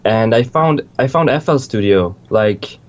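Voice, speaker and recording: A man's voice: medium pitch, animated, measured pace, dull audio. A young man from Switzerland, a music producer, chatting casually in an interview, rambling and swearing freely with lots of restarts.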